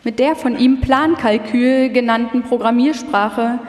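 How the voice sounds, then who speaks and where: A woman's voice: fairly high pitch, a little slow, darkish tone. A woman reading scripted documentary narration as a narrator in a live radio feature. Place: large hall, live stage performance. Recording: echoey hall with long reverb.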